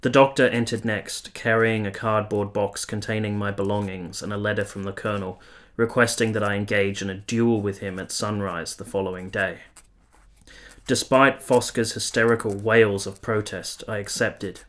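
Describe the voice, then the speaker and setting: An actor playing a weary, earnest, lovestruck army officer who narrates a radio play in solemn, literary, confessional language. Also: male voice, fairly low pitch, steady tone, normal speed, fairly bright tone, natural voice. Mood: grave, subdued. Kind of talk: radio play, first-person letter narration.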